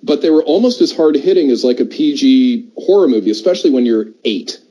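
A man's voice: mid-range voice, expressive, fairly quick, dark tone, lo-fi sound. A middle-aged man with an American accent, chatting casually and explaining things at length as a podcast co-host. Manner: discursive, explanatory. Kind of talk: casual chat.

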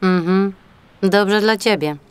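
A woman voicing a sensible, sharp-tongued female seal in an audiobook, with a scolding, sardonic, no-nonsense manner. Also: medium pitch, slow pace, clean tone, close to an everyday voice. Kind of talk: theatrical audiobook acting.

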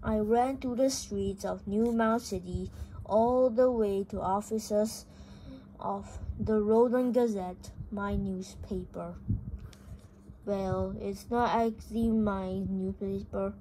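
A high-voiced reader reading a comic story aloud haltingly, stumbling over words, in an amateur home recording. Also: steady tone, dark tone, a little hiss or hum, low-quality recording. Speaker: video host reading a book aloud.

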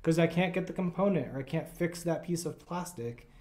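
A man in his thirties, a guest homeowner, talking in an easygoing, relaxed way in a historic parlor. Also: a little high, fairly quick. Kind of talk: casual chat. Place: historic house parlor, indoors.

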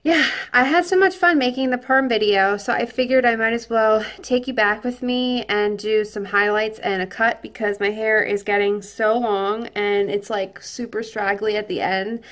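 A woman's voice: medium pitch, steady tone, moderate pace, hardly any pauses, a bit bright. A young woman in her twenties chatting casually to a camera held at arm's length in her living room, bubbly and full of filler words. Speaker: beauty vlogger. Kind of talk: casual vlog chat to camera. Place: dim living room at home, indoors.

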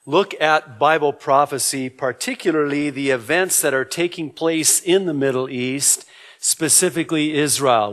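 A middle-aged man, a native Arabic speaker, preaching as a pastor in a measured, explanatory way, repeating key words deliberately. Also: medium pitch. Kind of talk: sermon, Bible prophecy teaching. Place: indoor church, at a lectern. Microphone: gooseneck lectern microphone.

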